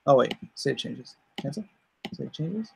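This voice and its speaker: A man hosting a livestream, chatting casually with informal, unhurried asides. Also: medium pitch, animated, vocal fry, warm tone, slight background noise. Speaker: comic artist hosting a livestream.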